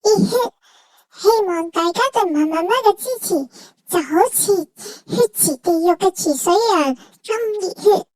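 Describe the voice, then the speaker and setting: A woman's voice: very high voice, moderate pace, no echo, low-quality recording. A woman voicing a cute, cheeky, self-important cartoon cat that talks childishly and theatrically in Hong Kong Cantonese for a travel vlog. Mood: boastful. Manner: childish, theatrical, refers to itself by name.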